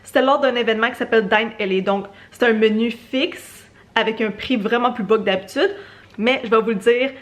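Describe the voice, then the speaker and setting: A woman's voice: a little high, a little fast. Upbeat Québécois woman vlogging. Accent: Québécois French. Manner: chatty and upbeat, addresses viewers directly. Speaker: travel vlogger. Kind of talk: casual vlog narration.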